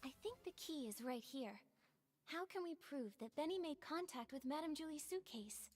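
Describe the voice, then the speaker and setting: A woman's voice: sits high, lively intonation, normal speed. A woman voicing an earnest young female detective companion in a video game, reasoning things through. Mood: thoughtful.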